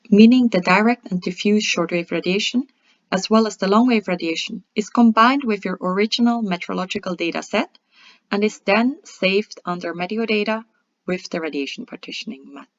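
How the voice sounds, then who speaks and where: A woman presenting a scripted software tutorial in a methodical, step-by-step instructional way. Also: mid-range voice. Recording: dull lo-fi voice-over.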